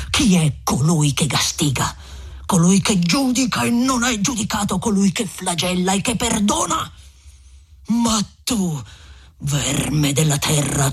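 A reader voicing a stern, righteous old friar with a husky voice, solemn and admonishing, in a dramatic audiobook. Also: mid-range voice. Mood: thundering indignation.

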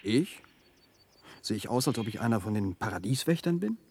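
Furtive visitor, swinging intonation. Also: male voice, lowish voice, big pitch swings, normal speed, darkish tone, slightly rough, exaggerated voice. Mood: guarded, curious. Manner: hesitant, conversational, guarded. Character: furtive, evasive male visitor straying from the tour. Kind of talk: naturalistic radio play acting.

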